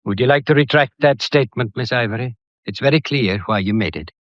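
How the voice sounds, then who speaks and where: An actor playing a methodical, courteous but probing police inspector in a detective drama, with a deliberate, pedantic and wryly theatrical way of talking. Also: male voice, mid-range voice, no room sound, highs cut off, lightly acted. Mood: probing, satisfied. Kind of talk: detective radio drama acting.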